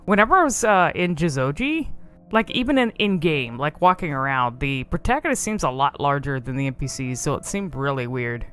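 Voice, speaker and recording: A woman's voice: deep voice, lively intonation, brisk, a bit bright, soft background music. A woman giving casual, off-the-cuff commentary on a video game in a rambling, informal, amused manner.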